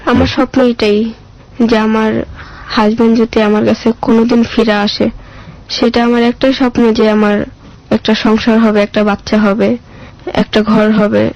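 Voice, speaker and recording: A woman's voice: fairly high pitch, level tone, a little slow, pauses often, darkish tone, dull audio. A woman with a Bangladeshi accent telling her life story as an interview guest in plain, colloquial, plaintive speech.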